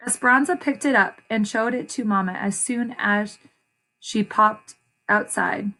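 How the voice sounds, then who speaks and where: A woman reading a picture book aloud like a teacher, deliberate and expressive, pausing to explain and repeat phrases for young listeners. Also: medium pitch, poor audio. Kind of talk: children's read-aloud with short explanations.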